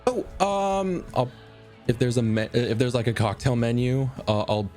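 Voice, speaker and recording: A man playing a tabletop roleplaying game, improvising in a hesitant, halting way. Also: mid-range voice, very animated, a little mellow, faint music behind.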